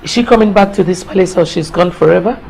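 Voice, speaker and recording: A woman's voice: on the low side, fast talker, vocal fry. Woman playing a stern queen mother. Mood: imperious. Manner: pleading and reproachful, shouting then hushed. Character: stern queen mother scolding her son.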